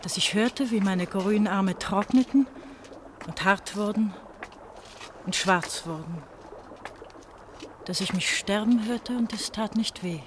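A frightened, worn-out young woman on the run played by an actress in a radio play. Her medium-pitched voice is fragile, dreamy and intense, over slight noise. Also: slow pace, long pauses, natural voice. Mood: dreamy, sad. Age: young adult. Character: exhausted, frightened young woman fleeing to the West. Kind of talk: German radio drama acting.

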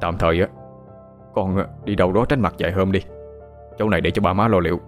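A man voicing a stern middle-aged father who scolds gruffly. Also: sits low, steady tone, a little fast, soft background music, close to an everyday voice. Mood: low scheming.

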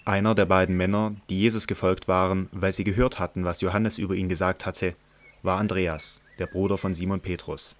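A man narrates scripture with a very deep voice. His reading is measured and solemn. It is scripted narration for a biblical radio drama. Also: little pitch movement.

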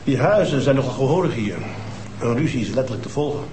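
An actor playing a stern police detective who questions suspects insistently. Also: male voice, medium pitch, lively intonation, fast, darkish tone, a little hiss or hum, lightly acted. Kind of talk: TV crime drama acting. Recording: roomy TV soundtrack with music.

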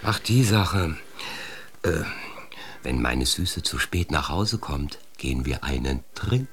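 An actor playing a friendly, casual supermarket clerk in a 1950s small town, talking wryly in a radio drama. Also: male voice, a bass voice, animated, slow, a bit bright, exaggerated voice. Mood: wry, grumbling. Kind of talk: naturalistic German radio drama dialogue.

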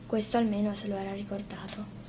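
A woman reads a fairy tale aloud as a storyteller, in an expressive scripted narration. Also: mid-range voice, brisk. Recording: clearly noisy live radio studio recording.

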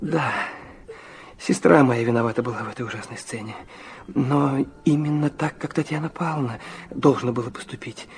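A man's voice: mid-range voice, moderate pace, natural voice. An actor playing a feverish, proud young man, narrating his own torments intensely and theatrically in a radio play. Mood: reflective. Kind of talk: dramatic first-person radio play narration.

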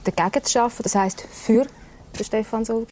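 A woman hosting a TV talk show, presenting and interviewing in a chatty, slightly halting way. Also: a little high, a little mellow.

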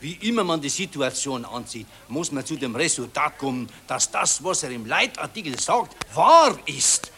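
Brief: earnest patriot, mid pitch, animated. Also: male voice, normal speed, few pauses.

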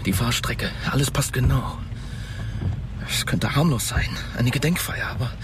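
A man's voice: mid-range voice, moderate pace, distant mic, noisy background, natural voice. An actor playing a worried, methodical man in a radio drama.

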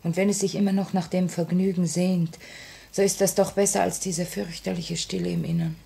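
A low female voice from a mid-century radio play, earnest and solemn. She is an anxious revolutionary bomb-maker who holds herself composed, with measured stage diction. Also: little pitch movement, vocal fry, mellow, husky. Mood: tender, solemn. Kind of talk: 1950s German radio drama acting. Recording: old 1950 radio play recording.

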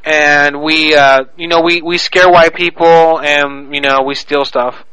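A man's voice: fairly high pitch, little pitch movement, a little slow, pushed and bright, clear, resonant tone, no echo. A man making a prank phone call in a crude, pushy, mock-serious manner. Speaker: prank caller.

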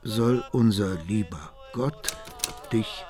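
An actor playing a pious old Sicilian mafia boss in hiding, dictating letters slowly and formally in a radio crime drama. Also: male voice, fairly low pitch. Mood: solemn, halting. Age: older. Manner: slow, formal, halting dictation. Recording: music behind, typewriter clacking.

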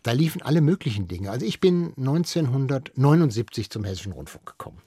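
A man's voice: mid-range voice, very animated, fast talker, warm tone, husky, no echo, studio quality. An older man, a radio veteran, explains things conversationally as an interviewed expert, often correcting himself as he thinks aloud.

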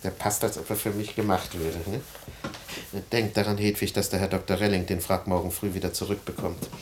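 A man's voice: deep voice, fairly even intonation, brisk, background noise, lightly acted. An actor playing a vain, self-pitying middle-aged family man in a radio play, swinging between grumbling and pathos. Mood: self-satisfied.

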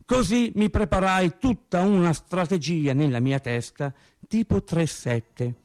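A male actor playing a wry storyteller recalling boyhood with childlike logic, in an expressive, conversational theatrical monologue. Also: sits high, a little slow. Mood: scheming. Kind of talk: theatrical first-person radio monologue.

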